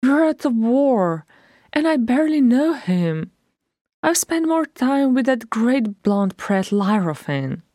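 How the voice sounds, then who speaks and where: A woman's voice: sing-song, takes it slowly, dark tone, no room sound, clean studio sound, full character voice. A woman voicing a blunt, impetuous dwarf woman who grumbles and complains. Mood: grumbling. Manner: higher, more emphatic voice than her narration.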